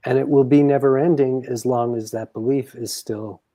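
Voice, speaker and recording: A man in a reflective coaching conversation, explaining things in measured, deliberate phrases. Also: medium pitch, steady tone, warm tone.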